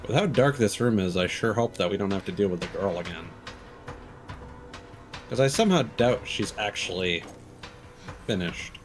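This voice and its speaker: A man's voice: on the low side, expressive, moderate pace, pauses often, faint music behind. A man streaming a video game with casual, reactive commentary, thinking aloud and swearing freely. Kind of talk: casual live gameplay commentary.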